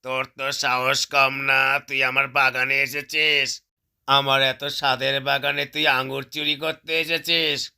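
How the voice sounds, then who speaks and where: A man's voice: mid-range voice, flat delivery, creaky, pushed and bright, clean tone, heavily acted. Man doing an angry drawling cartoon fox. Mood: indignant, scolding. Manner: threatening, scolding, drawn-out words. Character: angry, possessive plump male fox guarding his orchard. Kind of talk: cartoon character voice.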